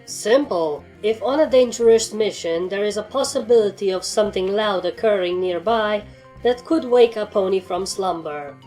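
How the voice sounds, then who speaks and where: A woman's voice: mid-range voice, moderate pace, dark tone, natural voice. A woman voicing a stern, easily annoyed mare who commands a squad of ponies, speaking in a commanding, matter-of-fact way over music in a story reading. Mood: disappointed, explaining.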